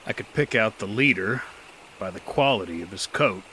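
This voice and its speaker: A rugged, world-weary gunslinger, voiced by a man tells his own story in a dry, clipped way. His voice sits a little low but rings bright and sharp. The narration is steady and unhurried, like an audio drama lead. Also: a little hiss or hum.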